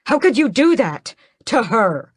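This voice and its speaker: A woman voicing a defiant young male vampire prince who yells and growls accusations. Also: sits low, normal speed, no echo, studio quality. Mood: accusing. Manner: forceful, yelling, growling threats.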